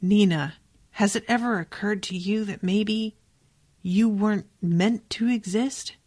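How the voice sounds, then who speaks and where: A woman's voice: medium pitch, animated, normal speed, fairly bright tone. A woman voicing a stern, proud male soldier from a novel, stiff, earnest and defensive. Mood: cold, righteous. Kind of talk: audiobook-style novel reading.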